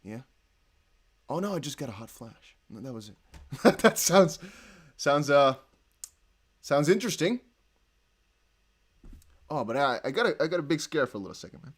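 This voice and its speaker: A young man with a Dutch accent hosting a casual livestream, reading chat aloud and breaking into excited whoops. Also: fairly high pitch, moderate pace, pauses often, slightly rough. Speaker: crypto livestream host.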